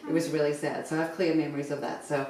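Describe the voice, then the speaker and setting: A middle-aged woman with a low voice talks through a recipe as a home cooking host. She is recorded at a distance in a very echoey kitchen and explains each step casually. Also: flat delivery, fast, darkish tone. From Zimbabwe. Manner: instructive, talking while cooking. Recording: very echoey kitchen, distant mic, faint noise.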